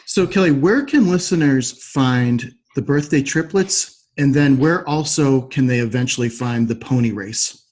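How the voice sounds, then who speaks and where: A man hosts a podcast interview in a medium-pitched voice. His phrasing is polite and measured, with the structured delivery of someone guiding a guest through questions. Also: a little mellow. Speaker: podcast host.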